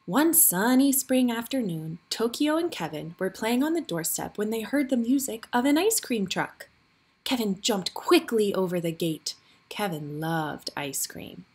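A woman reading a picture book aloud for young children, with expressive, deliberate storytelling phrasing. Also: medium pitch, slightly rough.

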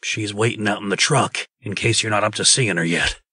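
A man's voice: lowish voice, brisk, sharp tone, husky, no echo, studio quality. A man voicing an agreeable, plain-spoken fairy-tale craftsman in a comic dub, earnest and deferential. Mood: helpful.

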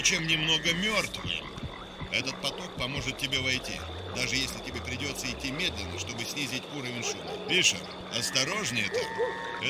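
Строгий командир средних лет в исполнении актёра деловито даёт агенту указания, порой с сухой шуткой. Голос звучит чуть выше среднего, словно издалека, и его заметно перекрывает громкий шум. Это дубляж игры. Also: male voice, fairly high pitch, lightly acted. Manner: briefing, instructive, dry humor. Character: stern middle-aged male mission commander. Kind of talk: Russian video game dub. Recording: distant radio-like voice under loud noise.

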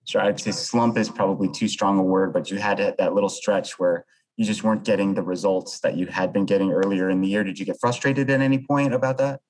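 An American man asks questions as a sports reporter at a press conference. His voice is deep. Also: fairly even intonation, brisk, darkish tone, low-quality recording.

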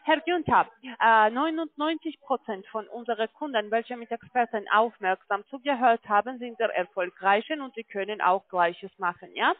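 A non-native German-speaking woman with a foreign accent giving a telephone sales pitch as a call-centre agent, often checking in as she goes. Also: moderate pace, hardly any pauses, no echo. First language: not German. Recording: muffled, tinny phone line.

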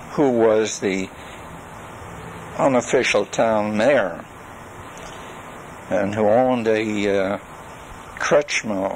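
An older man recounts his life in a very slow, halting delivery, leaving long pauses between phrases. His voice is gravelly and full of fry. It is a 1980s home cassette recording with faint noise behind him. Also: on the low side, low-quality recording. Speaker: storyteller recalling his life and legal practice. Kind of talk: spoken memoir on tape.

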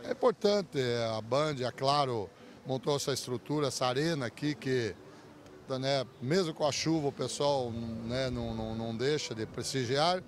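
A man's voice: medium pitch, moderate pace, a little hiss or hum. An older man interviewed on a beach by a handheld mic, talking in a rush with restarts. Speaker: event organizer interviewed. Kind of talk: on-the-street interview. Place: outdoors on a beach. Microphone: handheld reporter mic.

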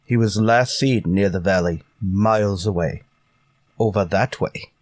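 An actor playing a loyal frontier tracker who speaks in terse, clipped phrases in a radio western. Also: male voice, lowish voice, unhurried, a little mellow, dry recording. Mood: matter-of-fact. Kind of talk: old-time radio western acting.

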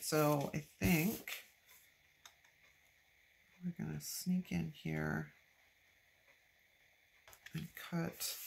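A woman's voice: lowish voice, creaky. A woman with a stuffy, congested nose from allergies, chatting casually to herself and her viewers while she decorates a planner at a craft table. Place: at a craft table, filmed from overhead. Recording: noticeable background noise.

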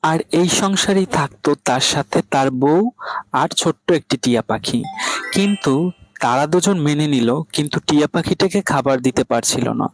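A man's voice: fairly high pitch, moderate pace, music in the background, low-quality recording. A man narrating a cartoon fable as a scripted storyteller.

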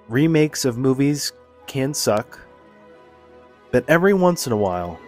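A man with a cold that has deepened his voice, narrating a deadpan, mock-serious theory video.

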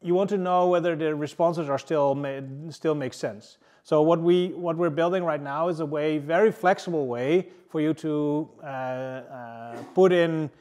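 Casting: presenter in his thirties, slightly raised voice, halting delivery full of fillers, audience room. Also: a little high, a little mellow. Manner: halting, many fillers and restarts. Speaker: engineering manager presenting. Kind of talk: casual tech meetup talk. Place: large room with a seated audience, indoors.

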